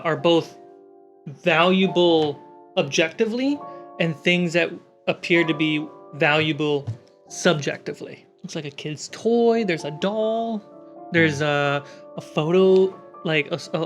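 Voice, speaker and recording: A game master narrating a tabletop roleplaying game, describing scenes piece by piece. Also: medium pitch. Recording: background music under the voice. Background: music.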